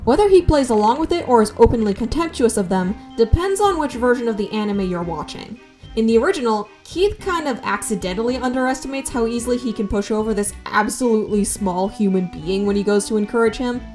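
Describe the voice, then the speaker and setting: Higher-voiced woman narrating a video essay. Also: expressive, a little fast, darkish tone. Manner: wry, conversational asides. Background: background music.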